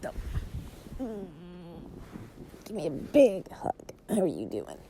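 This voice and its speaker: An affectionate, playful girlfriend voiced by a woman murmurs close to the mic in an improvised cuddle roleplay. Her voice is warm and relaxed, breathy and often near a whisper, with plenty of creak. She rambles tenderly over faint background noise. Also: on the low side, moderate pace, full character voice. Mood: cozy, tired. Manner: soft, rambling, affectionate, giggly. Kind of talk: improvised intimate relaxation roleplay audio, ASMR-like.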